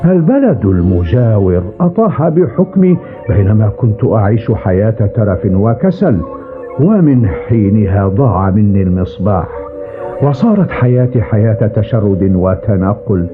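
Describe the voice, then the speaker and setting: An expressive old ferryman, grumbling and rueful, whose voice swings widely as he talks. It is a cartoon dub with a muffled, tinny sound and music behind. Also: fairly low pitch. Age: older. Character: old male ferryman, fallen ruler, testy, rueful storyteller. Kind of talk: dubbed cartoon voice acting.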